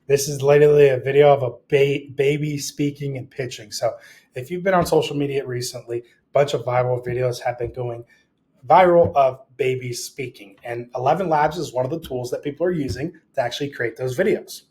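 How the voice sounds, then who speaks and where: A man's voice: medium pitch, fairly quick, darkish tone. A man with a mid-range voice works as an instructor, talking through a tutorial in a casual way. He explains things step by step and puts short rhetorical questions to the listener.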